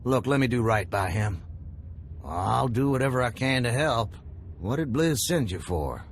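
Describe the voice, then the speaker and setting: An actor playing a good-hearted working-class salvager in a video game, folksy, drawling and earnest. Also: male voice, medium pitch, lively intonation, unhurried, slight background noise. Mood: regretful, earnest. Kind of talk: video game character acting. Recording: music behind the voice.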